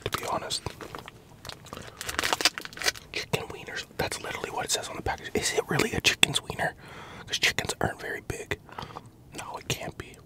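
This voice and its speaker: A man in his thirties, an ASMR creator, whispering a loose, profane eating chat into a desk mic inside a parked pickup truck. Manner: whispering, loose and profane, chewing between remarks. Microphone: large desktop mic in the cab, GoPro camera.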